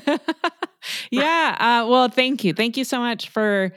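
A woman's voice: on the high side, slow pace, no echo, clean studio sound. A woman hosting a podcast, chatty and laughing often as she talks with her guest. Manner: warm, giggly and chatty. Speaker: podcast host. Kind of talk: podcast interview.

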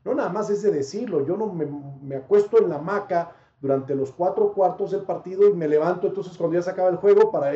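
A man who works as a sports reporter, answering interview questions as an animated, expansive storyteller. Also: high-pitched. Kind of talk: interview answers, anecdote telling. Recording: dull, roomy sound.

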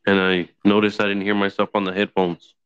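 A man's voice: fairly low pitch, flat delivery, a little fast, a bit bright, clean tone, dry recording. A man co-hosting a casual, unscripted chat over a dull, lo-fi microphone.

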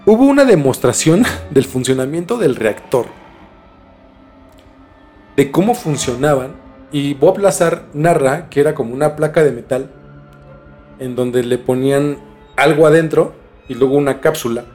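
A man's voice: fairly high pitch, moderate pace, pauses often, darkish tone. Mexican man telling a story casually over music. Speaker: podcast host telling a case. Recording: music under the voice. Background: background music.

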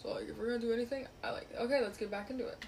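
A young woman with a fairly high voice hosts a casual online podcast. She talks in a playful, rambling way full of fillers. A faint hiss of noise sits under her voice. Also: steady tone, rapid-fire, vocal fry. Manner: chatty, filler-heavy, playful. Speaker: podcast host chatting with strangers online. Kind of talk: casual chat.

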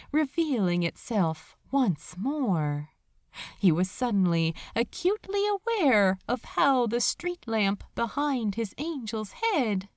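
A woman reading a story aloud as dramatic narration, in a tender, emotive manner with drawn-out phrasing. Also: mid-range voice, darkish tone, no room sound, studio quality. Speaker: audiobook-style reader of fan fiction.

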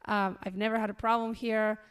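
A young woman from Argentina, a guest telling her story in a relaxed, earnest way with many ums. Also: mid-range voice, a little fast, clean tone. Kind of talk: casual interview storytelling.

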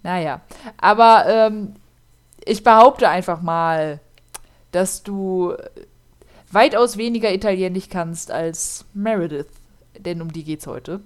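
A woman's voice: lowish voice, slow pace, clean tone. A woman, co-hosting a casual podcast and narrating a true crime case, chatty with lots of fillers. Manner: chatty, laughs often, many fillers.

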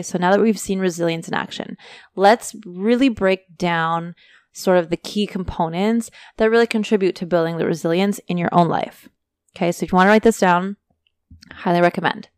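A woman hosting a casual motivational podcast, talking chattily to her listeners while her voice is going hoarse. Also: on the low side, no echo, studio quality. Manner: chatty, motivational, addresses listeners as you guys. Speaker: podcast host. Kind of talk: casual solo podcast talk.

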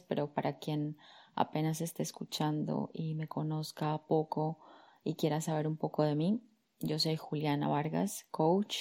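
A woman, speaking as a career coach on her podcast. Her voice sits low and warm, and her intonation stays quite even. She talks calmly and reflectively, soft fillers as she gathers her thoughts. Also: creaky. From Colombia. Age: 30s. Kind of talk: personal storytelling monologue.

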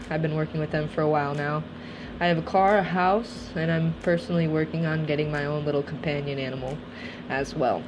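A woman's voice: low voice, background noise. A woman in her twenties, originally from Russia, hosting a podcast and talking earnestly and reflectively about her life. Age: 20s. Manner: earnest, reflective, steady delivery. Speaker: podcast host. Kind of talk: personal monologue podcast.

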